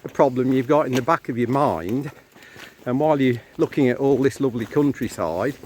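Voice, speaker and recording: English male walking-vlog co-host, explanatory casual guide talk, outdoor phone sound with clear noise. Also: mid-range voice, fairly quick, darkish tone. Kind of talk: casual chat with local guide commentary. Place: outdoors on a country lane.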